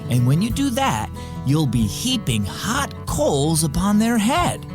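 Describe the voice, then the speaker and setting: Man playing a theatrical children's radio storyteller, swooping pitch. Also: very animated, over music. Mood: relishing. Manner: theatrical, drawn-out emphasis, chatty. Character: chatty male storyteller host of a children's Bible show. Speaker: radio drama host.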